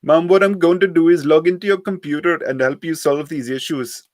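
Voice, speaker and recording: A storybook phone scammer, played by a man, speaks in a raised pitch with a heavy foreign accent. He is scripted, courteous to excess and keeps pressing earnestly. The sound is dry. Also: fairly even intonation, fairly quick, darkish tone, no room sound, close to an everyday voice. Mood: earnest, insistent. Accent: heavy put-on foreign accent. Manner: over-polite, scripted, insistent.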